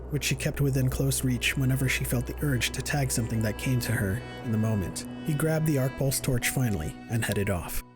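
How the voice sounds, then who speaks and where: A man narrates a science fiction audiobook as a scripted storyteller. His pitch is average for a man, and music plays clearly behind him.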